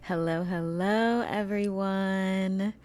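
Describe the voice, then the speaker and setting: A woman hosting her own podcast as a spiritual coach, talking casually and enthusiastically with a hoarse, froggy voice from allergies. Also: medium pitch, steady tone, slow pace, music in the background. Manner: enthusiastic, chatty, with casual swearing. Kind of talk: casual solo podcast talk.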